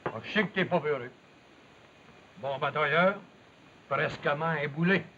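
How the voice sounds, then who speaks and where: An old, muffled television soundtrack with light noise holds a proud Quebec lumberjack who nurses a grudge. The voice is sharp and somewhat high for a male, and the speech is blunt, rustic and accusing. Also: a little slow. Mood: accusing, angry. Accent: Quebec French. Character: Big William, proud, resentful, quarrelsome lumberjack. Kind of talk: 1950s French Canadian television drama acting.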